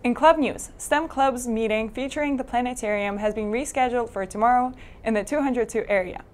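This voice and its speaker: A woman's voice: fairly high pitch, a little fast. A teenage girl from Poland, a student anchor reading school club news in a school TV studio. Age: teens.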